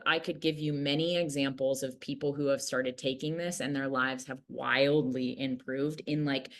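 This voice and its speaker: A woman in her late thirties giving an upbeat, earnest product pitch in a casual way, with fillers like "like" and "right". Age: late 30s. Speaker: product distributor and mentor.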